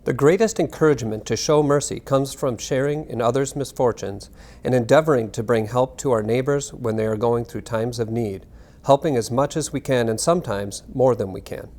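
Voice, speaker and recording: A man giving a scripted reading of narration and historical text in a measured, formal manner. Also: mid-range voice, darkish tone. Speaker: reader.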